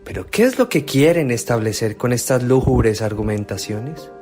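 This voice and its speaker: A man's voice: mid-range voice, lively intonation, moderate pace, a little mellow, faint music behind, natural voice. An actor playing an earnest young man raised from the dead in a devotional radio drama, speaking emphatically. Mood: indignant.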